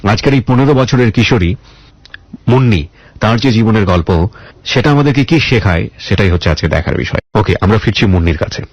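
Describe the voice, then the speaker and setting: A man with a Bangladeshi accent hosting a radio interview, deliberately repeating answers back as questions and explaining things to listeners. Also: lowish voice, animated, dry recording, lo-fi sound. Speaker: radio show host and interviewer. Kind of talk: probing interview with narration to listeners.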